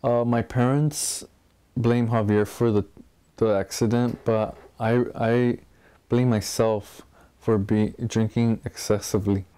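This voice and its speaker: A man in his early twenties, interviewed indoors in a small room, speaking calmly with slight hesitations. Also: mid-range voice, slow pace, clean tone. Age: 20s. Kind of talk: documentary interview.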